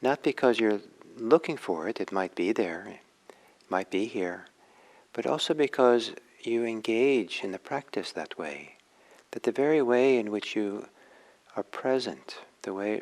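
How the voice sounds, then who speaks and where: A man giving a guided meditation talk as a teacher, reflective and halting, with frequent pauses. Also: fairly low pitch, a little mellow, low-quality recording. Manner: halting, reflective, pausing often.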